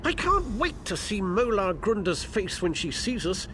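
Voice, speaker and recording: An actor playing a flamboyant, jovially eccentric video game guide, theatrical, airy and drily ironic. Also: male voice, high voice, big pitch swings, unhurried, background noise. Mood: cheerful, sardonic. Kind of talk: video game character dialogue.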